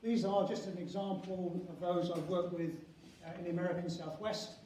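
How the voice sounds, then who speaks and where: An older man lectures at an academic conference in a high, soft-edged voice. He proceeds haltingly, restarting phrases and leaning on key terms. The sound is heavily reverberant and picked up from a distance. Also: level tone. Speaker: university professor and head of geography school. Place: large echoing conference venue indoors. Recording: very echoey hall, distant mic, faint noise.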